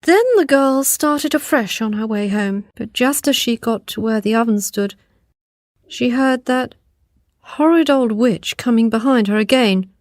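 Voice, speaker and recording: Female storyteller, fairly high voice, slow delivery, scripted fairy tale narration. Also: no echo, studio quality.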